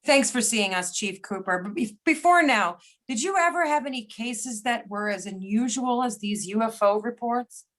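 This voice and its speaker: Woman to play courteous, inquisitive investigator in a play reading, voice a little on the high side. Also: animated, moderate pace, few pauses, fairly bright tone. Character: polite female investigator interviewing witnesses. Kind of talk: amateur readers theater reading over videoconference.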